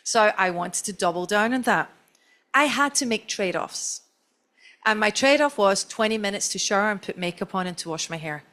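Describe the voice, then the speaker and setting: Scottish woman, middle-aged, chatty storyteller, self-deprecating, medium pitch, stage panel talk. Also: animated, moderate pace, a bit bright. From Scotland. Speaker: chief marketing officer on a panel.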